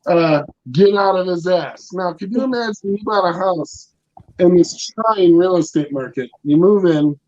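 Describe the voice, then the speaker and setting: A man performing a loud, rambling, self-mocking stand-up set over a video call. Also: high voice, measured pace, dry recording, lo-fi sound. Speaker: stand-up comic in residence.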